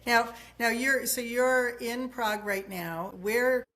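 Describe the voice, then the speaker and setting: A woman's voice: a little high, slow pace, a bit bright. A woman hosting an interview podcast, asking open questions in an encouraging way and often restarting her phrases. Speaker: podcast host.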